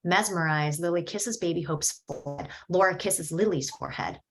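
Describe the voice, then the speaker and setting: A woman reading screenplay stage directions at a table read in a steady, descriptive way. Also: on the low side, moderate pace, soft background music.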